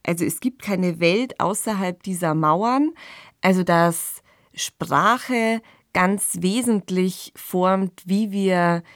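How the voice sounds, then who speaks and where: A woman's voice: fairly low pitch, slow, dry recording. A woman co-hosting a podcast, discussing analytically and thoughtfully into a close studio mic. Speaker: podcast co-host.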